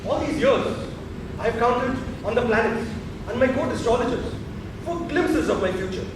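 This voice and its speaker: A man's voice: extremely high pitch, animated, normal speed. An actor playing an imperious, suspicious emperor and stern father in a formal, commanding stage drama. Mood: grandly musing. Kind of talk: theatrical stage acting. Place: theatre stage, recorded from far off. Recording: echoey stage, distant mic, noisy.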